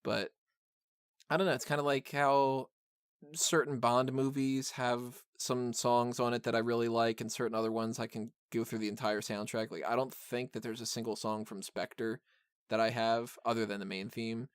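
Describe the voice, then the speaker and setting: A man's voice: medium pitch, level tone, normal speed, smooth, no echo, studio quality. A man hosting a podcast, chatting casually and off the cuff about films, rambling, with blunt opinions and the odd joke. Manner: rambling, conversational, frequent "like" and "kinda". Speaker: podcast host reviewing a film. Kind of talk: casual unscripted review chat.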